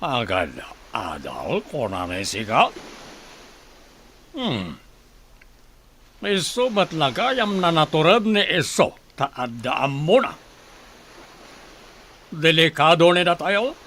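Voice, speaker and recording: A man acting as a domineering senyor in an audio drama, with a forceful, slightly high voice. He scolds and brags in bossy exclamations, swinging widely in pitch over faint noise. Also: very animated, takes it slowly, pauses often, heavily acted. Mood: irritated, scolding. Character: domineering, boastful rich senyor, a husband and father.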